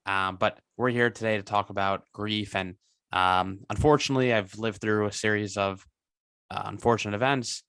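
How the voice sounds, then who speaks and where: Bright, clear-voiced young man. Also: on the low side, steady tone, no room sound. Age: 20s. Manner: earnest, halting with many restarts and fillers. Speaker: guest entrepreneur. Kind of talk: interview.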